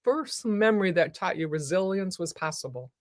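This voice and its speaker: A woman's voice: lowish voice, expressive, normal speed, a little mellow, no room sound, studio quality. A woman hosting a live talk show, effusive and enthusiastic as she chats with her guest. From United States. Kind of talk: live interview chat.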